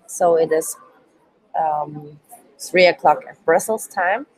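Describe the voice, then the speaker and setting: A woman's voice: low voice, slow, a little mellow, poor audio. A woman in her twenties who is not a native English speaker gives a live online training presentation in hesitant English full of ums, while she is sick. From Switzerland, Turkish roots. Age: 20s. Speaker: youth work trainer.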